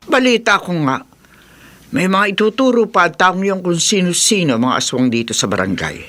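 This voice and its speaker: A woman's voice: fairly low pitch, lively intonation, normal speed, a bit bright. A woman playing an elderly Filipino grandmother who is secretly a monster, in agitated, emphatic horror radio drama style. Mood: grave.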